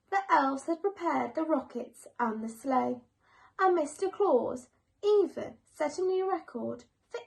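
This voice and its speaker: A woman reading a children's bedtime story aloud in an expressive, measured storytelling manner. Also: high voice, vocal fry.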